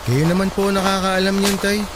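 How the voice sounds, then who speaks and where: Man playing a fast-talking, resentful young son. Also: high voice, monotone, noisy background, lightly acted. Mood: confronting, sarcastic. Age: young adult. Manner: curt, polite on the surface, pointed. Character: resentful grown son, coolly polite, pointedly sarcastic. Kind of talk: dramatised dialogue in a Tagalog audio drama. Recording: loud music bed under dialogue.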